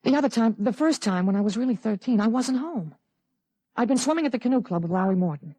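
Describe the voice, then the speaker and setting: Casting: a performer as a self-assured, urgent teenage boy with a grown soldier's head, mid-range pitch, dry 1950s radio drama sound. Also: lively intonation, quick pace, warm tone, no room sound, lightly acted. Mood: grave, insistent.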